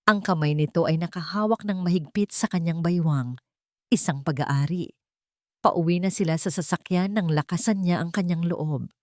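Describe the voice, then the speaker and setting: A woman's voice: lowish voice, normal speed, dry recording, clean studio sound. A woman reading a scripted dramatic romance story as its narrator.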